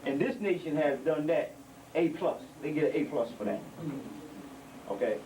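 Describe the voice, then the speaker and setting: Middle-aged guy preaching to young folks, kinda high voice, far off mic, noisy hall. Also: animated, normal speed. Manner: preaching, repetitive emphasis, street vernacular. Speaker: elder speaker at a community gathering. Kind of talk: informal address to young members. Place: indoor building. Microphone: handheld microphone. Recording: distant mic with background noise.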